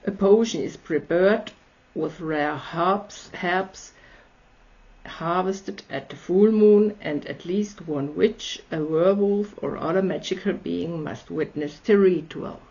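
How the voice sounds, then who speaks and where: A woman's voice: fairly low pitch, takes it slowly, warm tone. A woman with an Austrian accent voicing a polite, hungry male vampire in his prime, in a courteous, formal manner. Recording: slightly dull lo-fi audio.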